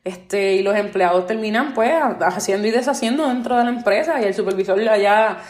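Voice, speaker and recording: A woman's voice: mid-range voice, a little fast, darkish tone. A Puerto Rican woman in her twenties hosting her own podcast, talking earnestly with many fillers like "este" and "pues". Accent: Puerto Rican Spanish. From Puerto Rico. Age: 20s. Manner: opinionated, earnest complaints about workplaces. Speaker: podcast host. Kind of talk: solo podcast monologue. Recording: roomy echo.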